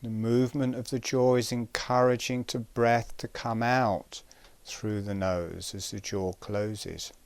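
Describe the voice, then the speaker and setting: Calm guy leading a breathing exercise, really slow, smooth clean voice, super dry sound. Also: mid-range voice, no echo. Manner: measured, with pauses between short phrases; calm, gentle. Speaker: breathing and relaxation instructor. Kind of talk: guided breathing exercise instruction.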